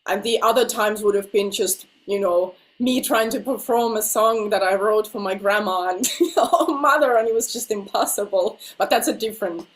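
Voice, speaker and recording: A woman's voice: medium pitch, normal speed, hardly any pauses, poor audio. Woman, Finnish native speaker, nasal from illness, video call interview, chatty, frequent laughs, hesitant fillers. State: slightly ill, nasal voice. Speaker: interviewed singer.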